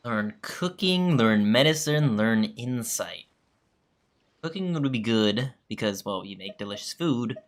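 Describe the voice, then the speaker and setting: Very deep-voiced woman gamer. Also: lively intonation, unhurried. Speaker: gamer, playing and reading dialogue choices. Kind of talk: casual game playthrough commentary.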